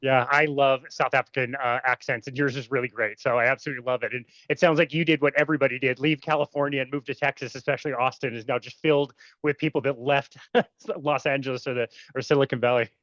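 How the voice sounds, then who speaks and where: A man's voice: medium pitch, steady tone, fast talker, fairly bright tone, dry recording, dull audio. A man hosting a podcast interview, enthusiastic and full of praise for his guest. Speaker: podcast host.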